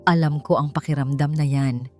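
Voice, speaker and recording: A woman narrator voicing a hesitant, wounded woman artist in a romance story, speaking softly and haltingly with wistfulness. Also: deep voice, steady tone, soft background music, close to an everyday voice. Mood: sad, fearful.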